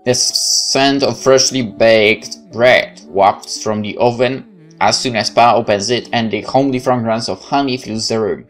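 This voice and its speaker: A man's voice: mid-range voice, unhurried, soft background music. A man with a Polish accent reading visual novel text aloud as a let's play host, dramatically, with occasional stumbles and casual asides. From Poland.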